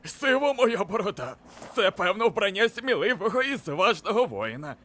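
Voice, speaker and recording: A man voicing an eccentric old grey-bearded wizard in an animated comedy dub, with a theatrical, exclamatory delivery. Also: sits high, normal speed, full character voice. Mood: cheerful bluster.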